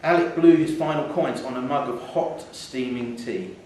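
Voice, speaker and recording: A man's voice: medium pitch, normal speed, darkish tone. Echoey man reading a story. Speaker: short story reader. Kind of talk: live scripted story reading, narration. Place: theatre, live reading. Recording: echoey theatre hall, distant mic, faint noise.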